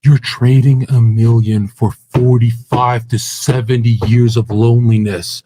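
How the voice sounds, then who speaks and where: A man's voice: mid-range voice, little pitch movement, unhurried, warm tone, soft background music. A man in a heated podcast debate who talks crudely and brashly and cuts others off.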